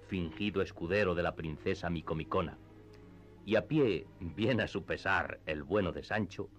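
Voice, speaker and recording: A man narrating a classic literary radio play in a measured, storytelling manner. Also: deep voice. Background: music.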